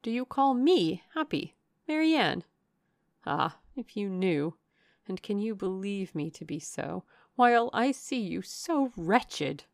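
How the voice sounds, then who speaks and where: A woman's voice: mid-range voice, animated, slow, gravelly, no echo, clean studio sound. A woman narrator voicing a grave, caring sister in a classic novel, restrained, earnest and urging. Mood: pained. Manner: solemn, urging.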